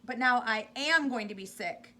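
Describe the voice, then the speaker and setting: A woman voices a frantic, queasy mother in a storybook, shrieking high and bright. Her cries come out shrill and loud. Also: moderate pace. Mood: panicked. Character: panicky, queasy mother on a wild ride.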